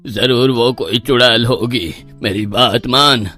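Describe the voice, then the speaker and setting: An actor playing an opinionated, lecherous village uncle in a cartoon story, with an emphatic manner. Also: male voice, mid-range voice, slow, pushed and bright, faint music behind. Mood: scolding. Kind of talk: Hindi cartoon horror story.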